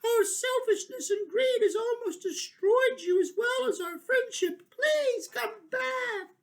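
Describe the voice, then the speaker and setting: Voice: very high-pitched, slow, pitched above the performer's own voice, full character voice. A crying crowd of little creatures. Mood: pleading, frantic. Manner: crying out, pleading, shouting. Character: crowd of small frightened creatures.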